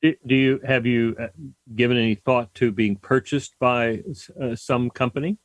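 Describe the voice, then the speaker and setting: A man's voice: mid-range voice, steady tone, moderate pace, dry recording. Man hosting a talk radio interview, dry sound. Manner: encouraging, with stammered restarts. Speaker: radio talk show host. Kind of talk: interview host.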